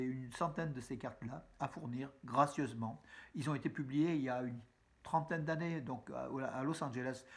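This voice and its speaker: A man giving a casual spoken news roundup to his viewers, chatty and halting, with many fillers and restarts, addressing them as friends. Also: medium pitch. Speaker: news vlogger. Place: room with a map on the wall behind him.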